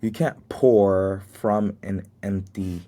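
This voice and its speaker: Slow, deep-voiced young podcast host. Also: male voice, fairly even intonation, mellow. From United States. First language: Haitian Creole. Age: 20s. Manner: earnest, faith-filled, chuckles at himself. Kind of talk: solo reflective podcast talk.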